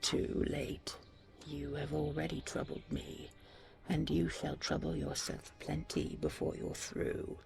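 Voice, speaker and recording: A towering storybook ogre, acted out by a woman, snarls with menace. The voice is heavily gravelly and breathy, drawn out slowly as it issues imperious commands. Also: deep voice, fairly even intonation, darkish tone, faint music behind, full character voice. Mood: menacing, commanding. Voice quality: growling, hissing, breathy. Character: towering menacing male ogre guarding plum trees.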